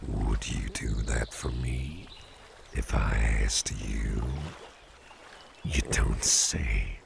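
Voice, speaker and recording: A man playing a calm, caring, playful businessman boss in a slow, intimate ASMR roleplay, speaking in a soothing, gravelly, very deep murmur. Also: very noisy, exaggerated voice. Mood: curious, testing. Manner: soothing, unhurried, repeats short phrases.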